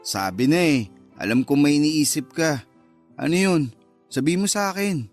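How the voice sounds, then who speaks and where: A man's voice: fairly high pitch, normal speed, soft background music, close to an everyday voice. A man acting a cocky, teasing and easily wounded secret lover, with a Filipino accent, in a radio love drama.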